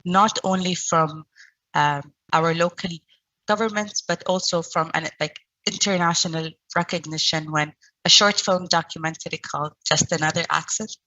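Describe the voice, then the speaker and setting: A middle-aged woman who stutters, giving an online presentation as founder of a stuttering support group, with pauses and repeated sounds. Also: low-pitched, fairly even intonation, bright, forceful tone, no echo, muffled recording.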